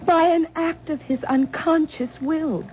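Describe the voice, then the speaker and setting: A nervous young wife, stuttery, highish voice, muffled old radio sound. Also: female voice, fairly even intonation, a little slow, a little mellow. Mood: tense. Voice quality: stammering, nervous. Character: anxious, frightened young wife, stammering. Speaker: troubled wife. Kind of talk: 1970s radio drama acting. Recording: dull old radio broadcast with faint hiss.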